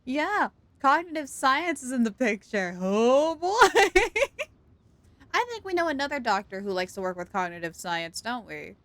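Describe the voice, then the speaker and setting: A woman livestreaming a game with casual, playful, expressive commentary for her viewers. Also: sits high, a little slow, no room sound. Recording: game music behind the voice.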